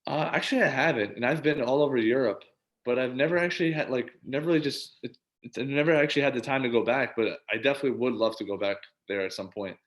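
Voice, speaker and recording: A man's voice: mid-range voice, fast. A man in his twenties chatting casually as an interview guest, informal and agreeable. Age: 20s. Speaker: interview guest, professional basketball player and coach. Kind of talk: casual chat.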